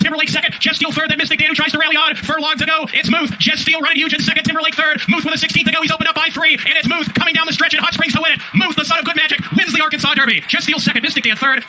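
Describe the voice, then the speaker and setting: A man giving live horse race commentary in clipped, urgent phrases that build in excitement. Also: very high-pitched.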